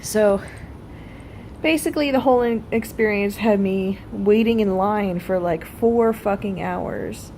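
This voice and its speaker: A woman's voice: medium pitch, unhurried, darkish tone, slight background noise. A woman telling a personal story in a casual vlog style, blunt and freely swearing. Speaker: vlogger.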